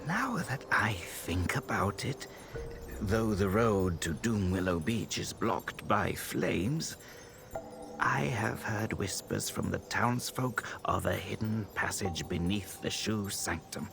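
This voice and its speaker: An actor playing a grave, scholarly male healer in a video game, speaking in a solemn, measured, formal manner. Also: fairly low pitch, lively intonation, vocal fry, music in the background, exaggerated voice. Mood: pensive, cautioning. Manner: solemn, measured, formal old-fashioned phrasing. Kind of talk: video game cutscene acting.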